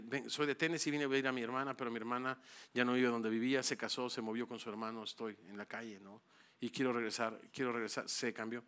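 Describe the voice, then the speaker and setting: A man's voice: mid-range voice, bright, forceful tone. A middle-aged man, a pastor giving a conversational sermon, stumbling over and repeating words. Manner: conversational, repeats words, calls listeners bro.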